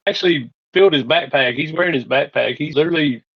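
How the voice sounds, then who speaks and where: A man's voice: a little high, fast talker, gravelly, breathy edge. A man playing a tabletop roleplay game, trading casual, dry, teasing banter in character. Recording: dull, muffled lo-fi table audio.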